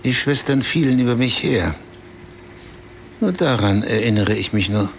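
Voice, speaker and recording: An actor playing a desperate, battered man who tells of his humiliation in short, halting phrases in a radio play. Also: mid-range voice, animated, gravelly, slight background noise. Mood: subdued, weary. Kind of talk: radio play acting, storytelling.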